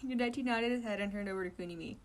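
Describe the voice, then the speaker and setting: A woman's voice: mid-range voice, very fast. A woman reading a story aloud informally, sometimes stumbling and correcting herself. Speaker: amateur fan fiction reader.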